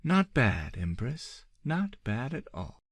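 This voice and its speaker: A man's voice: mid-range voice, sing-song, slow pace, no room sound, clean studio sound, pitched above the performer's own voice, full character voice. A man voicing a young, athletic empress thinking wryly to herself in an audiobook. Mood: relieved, self-satisfied. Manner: wry, self-talking.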